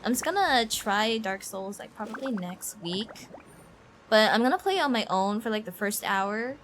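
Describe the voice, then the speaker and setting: A woman's voice: lively intonation, normal speed, fairly bright tone. A woman game streamer chatting casually and rambling in an informal, slangy way as she comments on play. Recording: faint background noise and low rumble.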